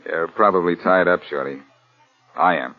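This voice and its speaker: A man's voice: deep voice, normal speed, soft background music, natural voice. An actor playing a cool, wisecracking male adventurer in a dry, flippant 1940s radio style. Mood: cool, guarded. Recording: muffled old-time radio recording.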